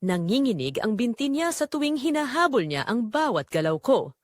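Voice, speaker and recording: A woman reading a scripted first-person dramatic story in a measured, expressive storytelling manner. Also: on the high side, no room sound, studio quality. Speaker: narrator.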